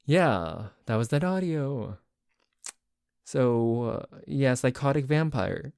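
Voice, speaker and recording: A male voice actor talks casually to his audience as the host of his channel. The recording is close and dry, and his delivery is informal and gently self-mocking, with some creak in it. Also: mid-range voice, lively intonation, takes it slowly, no room sound. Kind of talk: casual outro chat to listeners.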